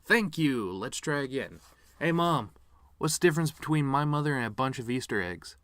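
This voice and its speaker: A man's voice: mid-range voice, lively intonation, moderate pace, a bit bright, no echo. A man performing a dramatic monologue as a bitter, regretful actor giving his mother's eulogy, with sardonic, rambling asides. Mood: sardonic joking.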